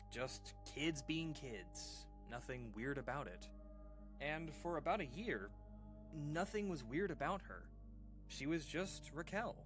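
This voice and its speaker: Male actor as uneasy guy recalling a creepy childhood story for a radio horror drama; halting, casual delivery, very lively pitch, music underneath. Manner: hesitant, halting, informal, with pauses and sighs. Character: uneasy man recounting an eerie childhood memory to listeners. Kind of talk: audio drama monologue, conversational horror storytelling.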